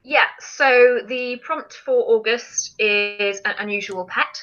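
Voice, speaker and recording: A woman's voice: fairly high pitch, slow, bright, forceful tone, muffled recording. A woman hosting a casual live stream, chatting and explaining things in an informal way. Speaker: film challenge live stream host.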